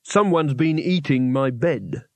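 A man's voice: a little high, animated, slow, no room sound. A man voicing a sour, cynical, cowardly wizard in an audiobook. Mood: sour, wary. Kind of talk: audiobook character voice.

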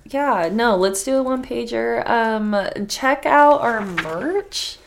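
A woman's voice: fairly high pitch, expressive, slow pace, gravelly, a little hiss or hum. A young woman co-hosting a podcast, chatting casually and retelling folktales in a slangy, sweary way, repeating herself for emphasis. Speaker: podcast co-host.

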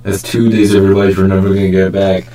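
Heavy echo surrounds a young man with a lowish voice. He chats casually as a podcast co-host, bantering with friends. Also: little pitch movement, quick pace, mellow. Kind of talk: casual chat. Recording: very echoey, doubled room sound.

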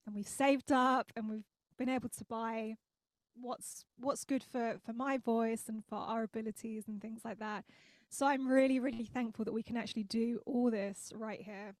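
A woman's voice: a little high, steady tone, normal speed, dry recording. Laughing woman singer in a home studio. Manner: laughs often, warm and grateful. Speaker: singer-songwriter guest. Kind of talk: casual interview chat. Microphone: studio mic.

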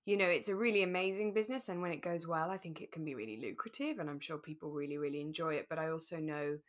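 Woman, slightly low voice, relaxed chat. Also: fast. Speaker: podcast co-host. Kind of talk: relaxed casual chat about a radio drama.

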